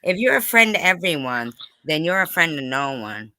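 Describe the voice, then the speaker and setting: A man's voice: a little high, very animated, normal speed, pushed and bright, dry recording. Male host for gossip talk show: piercing, clean tone, insistent, conversational, repeats himself. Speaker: host of an online interview show. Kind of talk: casual gossip interview, live chat.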